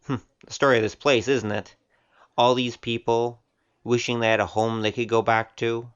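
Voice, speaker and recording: A man's voice: medium pitch, little pitch movement, normal speed, clean tone, dull audio, natural voice. A man playing a frank, easygoing wanderer in an audio drama, casual and plain-spoken. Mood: wry, probing.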